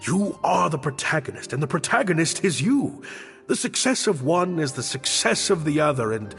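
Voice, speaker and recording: Game role: high-strung theatre director, highish swooping voice, grandiose phrasing, long reverb. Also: male voice, very animated, moderate pace, gravelly, fairly bright tone, exaggerated voice. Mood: smooth, grandiose. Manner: grandiose, emphatic, florid phrasing. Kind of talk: dramatic game voice acting. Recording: reverberant studio voice with music. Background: background music.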